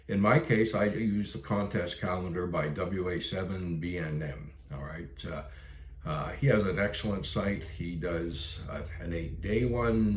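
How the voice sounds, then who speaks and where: A Canadian man with a low voice explains things casually to beginners. He is plain-spoken and instructive. The room adds noticeable echo to his speech. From Ontario, Canada. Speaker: amateur radio video presenter. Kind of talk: casual explainer for new hobbyists.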